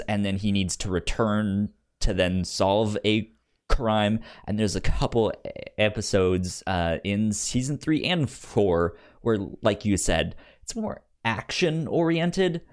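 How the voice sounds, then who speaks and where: A man's voice: fairly low pitch, takes it slowly. A man hosting a podcast in casual, informal chat, repeating words for emphasis. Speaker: podcast host.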